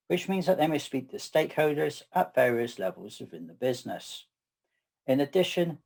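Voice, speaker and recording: A middle-aged man with a West Country English accent, co-presenting a technical session in a measured, slightly wry way. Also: mid-range voice, a little mellow, slightly rough. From southwest England. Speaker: conference co-presenter. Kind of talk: scripted tech presentation.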